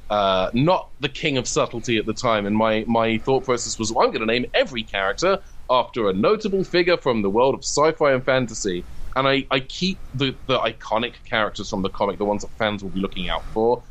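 A man's voice: mid-range voice, animated, a little fast, few pauses, a bit bright. A man talking casually as an interview guest about his own creative work, chatty and wry, wandering into asides. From from abroad, immigrated in 2007. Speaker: interviewed comedy writer and audio drama creator.

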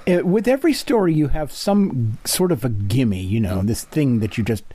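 Male interview host, soft-edged mellow tone, lively swooping pitch, conversational, halting explainer. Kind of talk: casual interview chat.

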